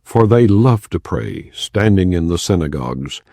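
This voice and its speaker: A man giving a measured, solemn non-dramatized reading of scripture, close to the mic. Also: deep voice, animated, vocal fry, dark tone, no room sound. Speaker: Bible reader.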